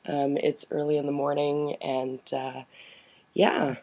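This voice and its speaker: A woman hosting a casual live internet radio chat, with a deep smoky voice, bantering and laughing easily. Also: level tone, takes it slowly, dark tone. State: deep raspy voice after quitting smoking. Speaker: radio show host.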